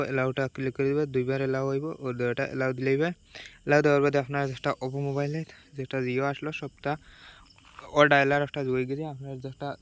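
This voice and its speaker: The Sylheti man on a small how-to channel, rattling through tap-by-tap instructions in an even, unvarying voice. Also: mid-range voice, clear, resonant tone. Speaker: tech vlogger giving a phone tutorial. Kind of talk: casual step-by-step tutorial.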